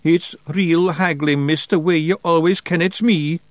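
A man doing a squeaky pixie, shifty and nervous. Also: fairly even intonation, measured pace, no room sound, natural voice. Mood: wheedling. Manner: shifty, evasive. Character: small male Feagle pixie, nervous, cheeky.

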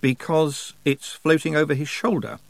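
A man's voice: fairly high pitch, steady tone, normal speed, vocal fry, dry recording, voice pushed up. A man voicing an earnest, proud young trainee witch girl in an audiobook, with a direct manner. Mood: puzzled. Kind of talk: audiobook character dialogue.

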